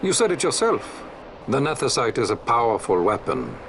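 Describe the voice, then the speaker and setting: An actor playing a grave, shrewd noble resistance leader in a fantasy game, with measured, formal, archaic speech. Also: male voice, mid-range voice, wildly swooping pitch, background noise. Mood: firm, calculating. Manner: measured, stately, archaic phrasing.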